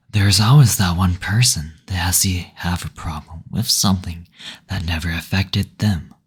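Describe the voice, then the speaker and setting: A man playing a teasing, gentle male demon in an intimate roleplay audio, speaking softly and breathily, often near a whisper, in a tender, unhurried manner. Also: sits low, slightly rough, exaggerated voice. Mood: weary, resigned.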